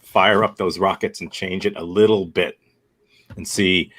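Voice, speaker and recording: A man's voice: low voice, steady tone, unhurried, a bit bright. A man leading an improv workshop, giving rambling, upbeat feedback on a scene and setting up the next exercise. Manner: rambling, self-correcting, upbeat. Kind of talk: casual workshop feedback and instruction.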